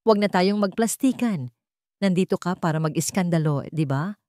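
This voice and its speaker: A woman's voice: on the low side, animated, moderate pace, dark tone, dry recording, studio quality, lightly acted. A woman narrator voicing a cold, sarcastic, defiant young mistress in a story, with a Filipino accent. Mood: scornful. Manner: cold, mocking. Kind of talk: storybook character dialogue.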